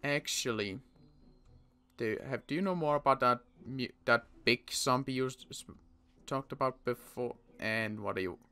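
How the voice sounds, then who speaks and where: A young man playing a weary survivor in a Minecraft roleplay, talking in halting bursts with sighs and restarts. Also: mid-range voice, pushed and bright, smooth. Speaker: roleplay player and channel host.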